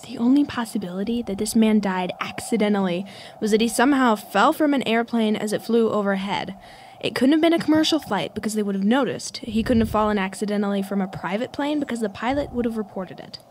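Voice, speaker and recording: A woman's voice: medium pitch, brisk, darkish tone, a little hiss or hum, close to an everyday voice. A woman playing a curious, confident schoolgirl sleuth in a radio mystery drama. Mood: intent. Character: sharp, curious schoolgirl sleuth, teasing older-kid confidence.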